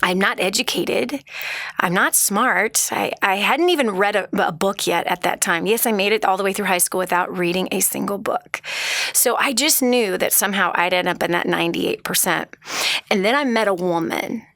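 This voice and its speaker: A woman's voice: mid-range voice, moderate pace, a bit bright, dry recording, studio quality. A woman hosting a radio talk show, speaking earnestly to listeners with rhetorical questions and frequent restarts. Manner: frequent restarts and repeated words. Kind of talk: motivational talk show monologue.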